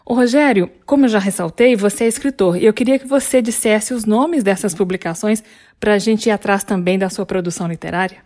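A woman hosting a radio program, introducing songs and guests in a measured way. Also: medium pitch, darkish tone.

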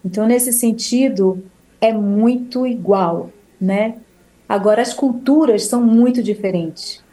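A Brazilian woman, a singer who leads choirs, talking in a relaxed, rambling way in an interview, with many restarts and tag questions. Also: fairly high pitch, unhurried, poor audio. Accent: Brazilian Portuguese. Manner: warm, rambling, with restarts and frequent "né?". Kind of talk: casual interview talk.

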